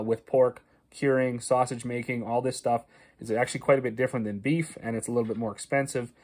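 A man's voice: mid-range voice, a little mellow. Farmer talking to his phone camera. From Canada. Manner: conversational, many fillers and restarts, stresses prices. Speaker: farmer and video host. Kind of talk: casual talking-head explainer. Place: small wood-paneled room indoors. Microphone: phone camera at arm's length.

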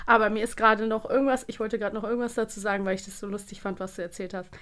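A woman's voice: mid-range voice, fairly even intonation, rapid-fire, fairly bright tone, clear, resonant tone. A woman in her thirties co-hosting a podcast in casual chat, chatty and laughing often, sometimes losing her thread, on a studio mic. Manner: chatty, laughs often, trails off mid-thought. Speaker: podcast co-host.

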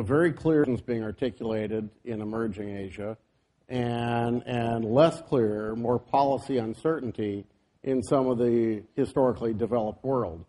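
A man's voice: mid-range voice, warm tone. Guy on a business panel, chatty, lots of "you know". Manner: conversational, with many "you know" fillers. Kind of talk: panel discussion.

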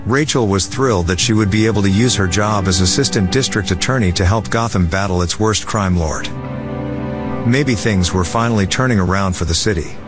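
A man's voice: on the low side, a little fast. A man narrating a scripted dramatic story as the narrator of an audio drama. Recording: loud dramatic music behind.

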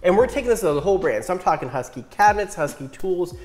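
A man's voice: high voice, big pitch swings, fast talker, darkish tone, a little hiss or hum. Easygoing man chatting in a garage. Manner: easygoing, self-deprecating, talks with his hands. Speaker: host. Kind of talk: casual tier-list chat. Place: indoor home garage.

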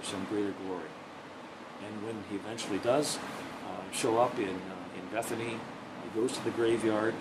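A middle-aged man preaching a sermon outdoors, speaking earnestly and often repeating words as he searches for them. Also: mid-range voice. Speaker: pastor. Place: outdoors, under a bridge then at an open lookout. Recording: noisy outdoor recording.

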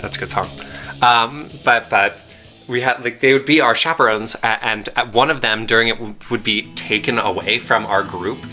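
Young man, very bright tone, rambling casual storyteller, faint game music behind. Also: mid-range voice, normal speed. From Pennsylvania, USA. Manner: rambling storytelling, many fillers and restarts. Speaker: let's play co-host. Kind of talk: casual chat over gameplay.

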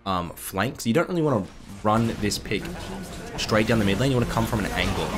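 A young man with a slightly croaky voice, casually commenting on a game replay from his desk, chatty with excitable bursts. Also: medium pitch. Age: young adult. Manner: chatty, excitable bursts over calm analysis. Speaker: gaming commentator. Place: indoor room at a desk. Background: background music.

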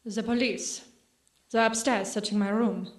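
A woman's voice: medium pitch, fairly even intonation, unhurried, lightly acted. An actress playing a sorrowful, restrained young woman in a radio drama. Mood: subdued. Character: guarded, sorrowful young Central European woman in love.

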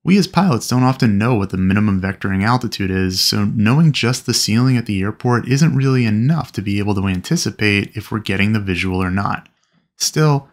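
A man giving a scripted explainer narration in an instructional manner, as if teaching peers. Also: lowish voice. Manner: instructional, addresses fellow pilots.

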